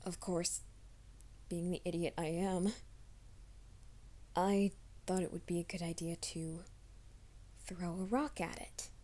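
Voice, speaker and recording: Woman playing a young werewolf guy, whispered confession, low voice, flat slow delivery. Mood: anxious whisper. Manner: soft, hushed, often whispering. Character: troubled young man, secret werewolf, pleading. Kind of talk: fan audio drama, ASMR roleplay.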